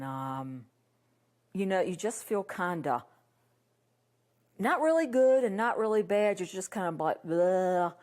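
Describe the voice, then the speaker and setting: A middle-aged woman with a deep voice talks in a casual, rambling way. She keeps adding thoughts as she goes. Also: darkish tone. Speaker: interviewee. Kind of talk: personal reflection.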